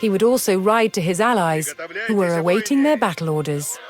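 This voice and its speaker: Narrator doing a history game story, measured and dramatic, mid pitch, music behind. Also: lively intonation. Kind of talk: scripted documentary-style game narration.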